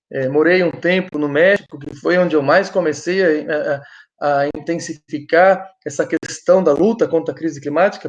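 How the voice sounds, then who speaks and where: A man's voice: on the high side, muffled recording. A Brazilian man giving an online presentation over a video call, explaining in a hesitant way and often stopping to restart his sentences. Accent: Brazilian Portuguese. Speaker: guest speaker.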